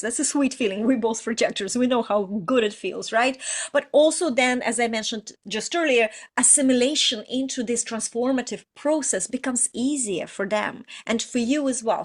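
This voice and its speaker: Woman coach, fairly high voice. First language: Russian. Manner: earnest, explains with many restarts and "you know"s. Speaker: prosperity coach. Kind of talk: informal astrology teaching talk.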